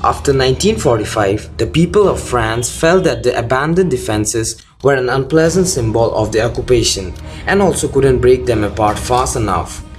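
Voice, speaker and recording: A man's voice: medium pitch, animated, moderate pace, hardly any pauses. A man reading scripted documentary narration as the host of a video. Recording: loud music under the voice. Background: loud background music.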